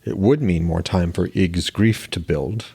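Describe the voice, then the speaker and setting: A man's voice: sits low, unhurried, creaky, a little mellow, slightly rough. A man hosting a fiction podcast, speaking in a scripted narration style as an author reading his fantasy work. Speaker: podcast host, author reading own novel.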